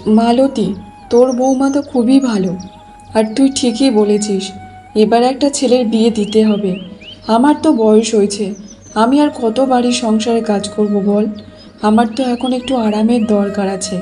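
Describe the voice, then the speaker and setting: A woman voicing a kindly, weary village mother in a cartoon, gently coaxing and advising. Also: a little high, a little slow, soft background music, lightly acted. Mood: chatty, concerned. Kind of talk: Bengali cartoon voice acting.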